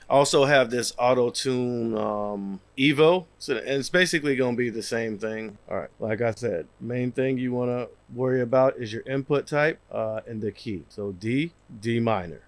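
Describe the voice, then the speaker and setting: A man in his thirties with a slightly raspy, worn voice, casually explaining software in a home studio over a headset mic, with lots of fillers. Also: mid-range voice, no room sound. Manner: relaxed, rambling, many fillers. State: losing his voice, a bit raspy. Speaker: music producer. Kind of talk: casual screen-share tutorial.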